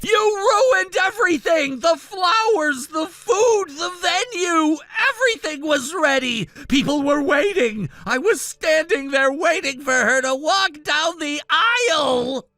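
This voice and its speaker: A woman voicing an angry, controlling groom who shouts and accuses. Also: fairly high pitch, very animated, unhurried, gravelly, hardly any pauses, no room sound, soft background music, heavily acted. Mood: furious.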